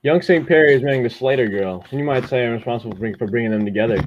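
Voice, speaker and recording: A man's voice: medium pitch, racing, muffled recording, a little hiss or hum, close to an everyday voice. A man playing a chatty, self-important village postman and bell ringer in a radio drama, talking in a folksy, boastful way. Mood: chatty, pleased.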